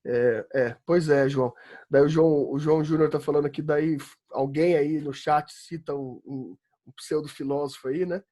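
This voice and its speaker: A man's voice: fairly high pitch, fairly even intonation, moderate pace, dark tone. A man from São Paulo with a Paulista accent, teaching an online law philosophy class in a chatty, emphatic way, often asking "né?". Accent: Brazilian Portuguese, São Paulo. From São Paulo, Brazil. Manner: chatty, emphatic, rising to exasperated rants, frequent "né?". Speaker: law school philosophy professor. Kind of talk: online lecture. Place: home, online class during quarantine.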